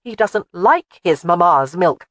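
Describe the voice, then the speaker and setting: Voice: medium pitch, animated, takes it slowly, a bit bright, no echo, clean studio sound. The audiobook narrator voicing a protective young woman who scolds firmly. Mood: scolding. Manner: scolding, firm. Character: fierce, protective young woman caring for a baby.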